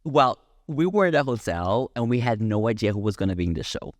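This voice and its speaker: A man with a Swiss accent chats casually on a podcast and turns to the viewers to tell his stories. His voice sits at an average pitch, and the recording is close and dry. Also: very animated, fairly quick, no room sound. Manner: chatty, storytelling, addresses viewers as you guys. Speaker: drag queen podcast co-host. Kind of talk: casual chat reviewing a show.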